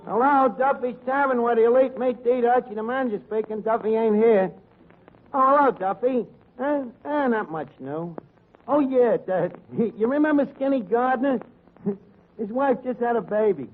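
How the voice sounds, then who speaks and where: High-pitched, brash New tavern manager. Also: male voice, normal speed. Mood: breezy, wisecracking. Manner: wisecracking, hesitant drawl on the telephone. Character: brash, scheming tavern manager, mangling his words. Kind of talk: 1940s radio comedy acting. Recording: dull, noisy vintage radio recording.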